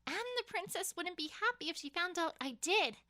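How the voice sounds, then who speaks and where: A woman's voice: very high voice, lively intonation, brisk, pushed and bright, dry recording, studio quality, exaggerated voice. A woman voicing an earnest, weary young female pony student in a dramatized story. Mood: worried. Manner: earnest, reflective.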